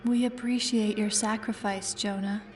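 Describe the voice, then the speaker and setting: A woman playing a calm, polite synthetic android in a sci-fi audio drama, formal and measured. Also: mid-range voice, recorded from a distance, close to an everyday voice. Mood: calm, guarded. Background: music.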